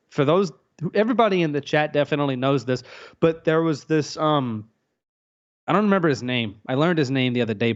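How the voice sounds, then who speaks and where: A man as a guest on a casual livestream, rambling and joking crudely. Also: fairly high pitch. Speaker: podcast guest. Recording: dull lo-fi audio.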